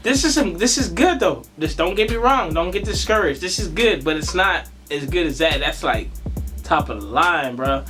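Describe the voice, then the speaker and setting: Slightly raspy man vlogging. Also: a little high, expressive, moderate pace, music in the background. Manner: slangy, laid-back, addresses viewers as y'all. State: under the weather, slightly raspy with a cough. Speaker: sneaker vlogger. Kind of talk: casual unboxing vlog.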